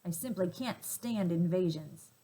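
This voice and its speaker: A woman's voice: fairly low pitch, a little slow, warm tone, breathy edge, rough recording. Huffy second boar, lady doing it, fussy and indignant, storybook voice.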